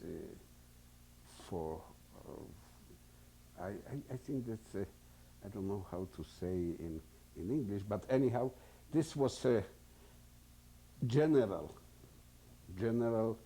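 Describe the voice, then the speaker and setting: A man in his seventies from Poland with a Polish accent, giving oral history testimony slowly and hesitantly, often searching for English words. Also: fairly low pitch, warm tone, slight background noise, low-quality recording. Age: 70s. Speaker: interviewee.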